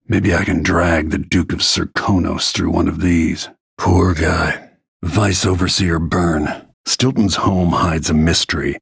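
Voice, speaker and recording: A man voicing a grim, middle-aged assassin and protective father in a video game, gravelly and husky, with terse, brooding, controlled delivery. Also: rumbling low, fairly bright tone, no room sound, studio quality, heavily acted.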